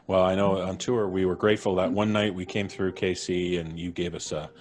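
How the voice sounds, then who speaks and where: A man's voice: low-pitched, fairly even intonation, moderate pace, a little mellow, a little hiss or hum. A man hosting a live-streamed show, chatting casually and jokingly as he introduces his guests. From Canada. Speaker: host. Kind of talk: casual livestream chat.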